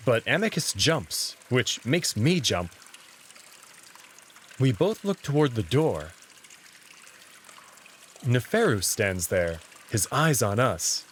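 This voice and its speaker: A man's voice: mid-range voice, a little hiss or hum. A man narrating a visual novel in the first person, reading it as a story.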